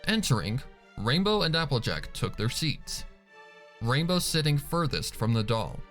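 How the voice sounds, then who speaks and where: Slow, expressive male story narrator. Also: medium pitch, soft background music. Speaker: fan fiction reader and narrator. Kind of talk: scripted dramatic story narration.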